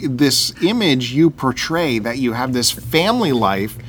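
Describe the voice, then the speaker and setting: A man's voice: mid-range voice, sing-song, measured pace, a bit bright, a little hiss or hum. A man co-hosting a casual podcast, teasing his friend, laughing easily and pressing him with questions. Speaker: podcast co-host. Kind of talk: casual banter.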